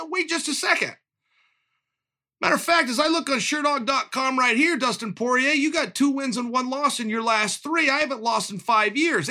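A man's voice: very high voice, sharp tone. A man, an MMA fighter, delivering a fired-up commentary rant that is rousing and full of pointed rhetorical questions.

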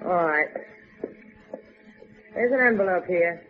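A woman's voice: fairly low pitch, lively intonation, takes it slowly. A muffled, narrow vintage radio recording carries a wisecracking woman. Her delivery is cool, wry and teasing, the sardonic sidekick of a 1950s adventure serial. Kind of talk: 1950s radio drama acting.

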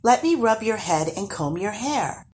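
A woman's voice: mid-range voice, sing-song, unhurried, darkish tone, pitched above the performer's own voice. A woman voicing a clever, bold young girl in a storybook, speaking in a sweet, wheedling sing-song. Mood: sweetly coaxing. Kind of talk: storybook character voice.

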